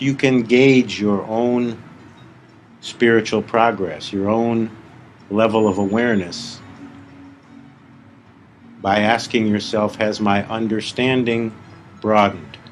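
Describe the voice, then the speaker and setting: Male spiritual teacher, low register, deliberate meditation delivery with long pauses, faint music underneath. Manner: measured, deliberate, with long pauses between short phrases. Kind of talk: live guided meditation talk.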